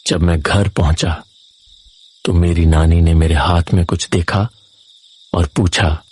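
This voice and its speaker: Low, husky male horror storyteller. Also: steady tone, slow, darkish tone. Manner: dramatic, suspenseful. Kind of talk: scripted first-person horror narration.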